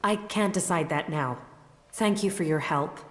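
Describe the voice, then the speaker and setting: An actress playing an earnest, thoughtful mage uneasy about her powers in a video game, speaking in a measured way. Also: lowish voice, fairly bright tone. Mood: troubled. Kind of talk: video game cutscene acting. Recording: echoey reverberant space, music behind.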